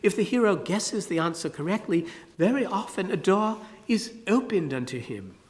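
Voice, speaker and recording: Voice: medium pitch, animated, normal speed, pitched above the performer's own voice, natural voice. A narrator voicing a clever, proud young girl who speaks earnestly and musingly, with a long hall reverb on the recording.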